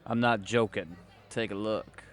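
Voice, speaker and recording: An actor playing a world-weary, hard-boiled private detective, narrating a noir audio drama in a wry, brooding, gravelly voice. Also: male voice, lowish voice, steady tone, measured pace, lightly acted. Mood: defiant, wry. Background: music.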